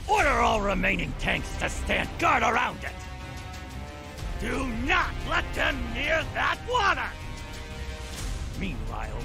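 Gravelly ancient villain commander. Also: male voice, high voice, full character voice. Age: older. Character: ancient, menacing cyborg supreme military commander. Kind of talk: villain acting in a video game. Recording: loud music behind.

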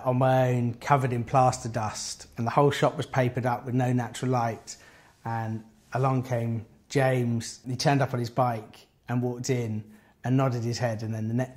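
A man in his thirties, a barbershop co-owner, speaking earnestly in an interview with pauses and fillers. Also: medium pitch, darkish tone. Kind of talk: interview for a promotional video. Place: indoors in a barbershop. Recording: background music clearly heard.

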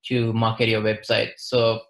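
A young man from western India with an Indian accent, explaining things in a measured, matter-of-fact way as an interview guest. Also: lowish voice, monotone, creaky, a bit bright. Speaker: interview guest, marketing advisor. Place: plain-walled room, indoors.

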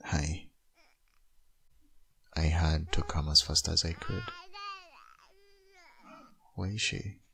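Man acting as deep, gravelly, sleepy caring dad. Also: fairly even intonation, slow, pauses often, bright, forceful tone, exaggerated voice. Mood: gentle, concerned. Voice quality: low, gravelly, husky. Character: caring young father, sleepy ex-boyfriend, gentle and soothing. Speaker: ASMR roleplay performer. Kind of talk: boyfriend ASMR audio roleplay.

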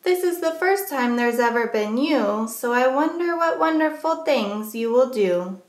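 A woman reading a rhyming children's picture book aloud as a storyteller, with measured, deliberate phrasing for young listeners. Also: sits high, animated, echoey room.

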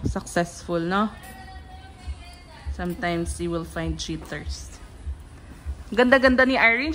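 Slow, giggly Filipino woman vlogging. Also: medium pitch, a bit bright, low-quality recording. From Philippines. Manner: giggly, chatty, mixing English and Tagalog. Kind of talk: casual vlog chat. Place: nail salon, indoors.

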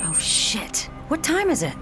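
An actress playing a frayed, defiant police officer in an animated sci-fi drama. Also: female voice, sits high, a little slow, over music, full character voice. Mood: groggy.

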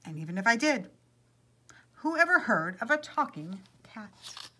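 A woman's voice: on the high side, very animated, a little slow, pushed and bright, exaggerated voice. A woman voicing a good-natured, hard-working cottage farmer, a man in a storybook, in an earnest, exclaiming manner. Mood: startled.

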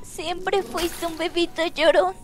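A woman's voice: extremely high pitch, steady tone, takes it slowly, noisy background. A woman voicing a fond, resigned dying child in a Latin American Spanish cartoon fandub. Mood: tender teasing. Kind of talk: dramatic animation fandub. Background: music.

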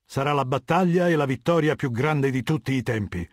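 An actor voicing a cold, haughty middle-aged warlord dictator in a video game, lecturing in a measured, commanding and disdainful way. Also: male voice, medium pitch, dry recording, clean studio sound, lightly acted. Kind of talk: video game character dialogue.

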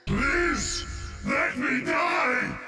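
An actor playing a tormented undead giant warrior in a video game, crying out slowly in anguish and wrath, weary of life. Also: male voice, very high-pitched, huge pitch swings, vocal fry, reverberant, raised voice, music in the background, exaggerated voice. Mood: anguished, defiant. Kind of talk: dramatic video game character acting.

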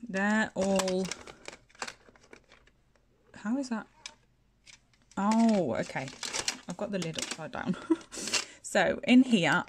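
A woman's voice: fairly low pitch, slight background noise. Sniffly woman chatting casually. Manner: chatty, self-deprecating, many fillers and restarts. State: sniffly from hay fever. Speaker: nail technician and channel host. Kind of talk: casual room-tour chat. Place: home nail room, indoors.

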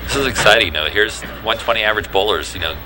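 A man giving relaxed, knowing commentary on a youth bowling match, explaining shots as they happen. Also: lowish voice, fast talker. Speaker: color commentator. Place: bowling alley. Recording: noisy bowling alley ambience.

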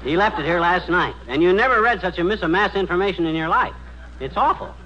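A grumbly wisecracking radio husband, high sharp voice, muffled old recording. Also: male voice, lively intonation, fast talker. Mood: mock indignant. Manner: wisecracking, indignant. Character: grumbling, opinionated comic husband. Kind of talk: old-time radio comedy acting. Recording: muffled, hissy vintage radio.

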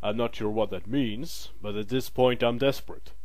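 A man playing a brisk, pragmatic military recruiter in an audio drama, matter-of-fact and wry. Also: mid-range voice, a little mellow, no room sound, natural voice.

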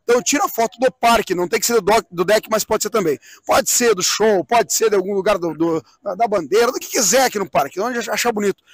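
A man's voice: sits high, a little fast, hardly any pauses, dry recording. A middle-aged man explaining things in a live interview, repeating phrases and posing rhetorical questions. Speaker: event president being interviewed. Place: outdoors on a wooden swing in a park.

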